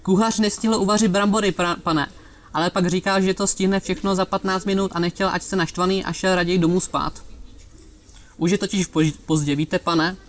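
A man's voice: high voice, fairly even intonation, close to an everyday voice. A man voicing a meek, endlessly polite young male waiter who tacks "sir" onto every phrase, in a raised voice. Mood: apologetic.

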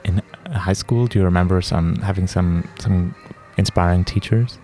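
A man's voice: sits low, steady tone, normal speed, mellow, soft background music. A man interviewing a guest, asking curious questions and nodding along with short replies. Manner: curious, brief prompts and frequent "mm-hmm". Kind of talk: casual interview.

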